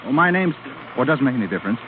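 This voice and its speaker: A man's voice: medium pitch, big pitch swings, racing, narrow, tinny audio, lightly acted. An actor playing a polite, shyly persistent young man in a 1930s radio drama. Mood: hesitant, pleading. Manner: hesitant, pleading. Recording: noisy, band-limited 1930s radio broadcast.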